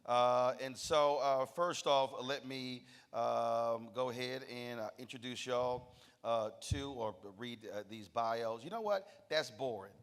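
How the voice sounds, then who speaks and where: A man's voice: medium pitch, slow pace. Joking man in an echoey hall. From Texas. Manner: loose, teasing, drawling Southern talk. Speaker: panel moderator and CEO. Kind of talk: joking panel moderation. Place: stage in a large hall. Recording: reverberant hall sound.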